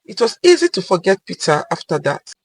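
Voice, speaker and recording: A woman narrating a scripted story in a dramatic, deliberate, expressive way. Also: mid-range voice, husky, no room sound.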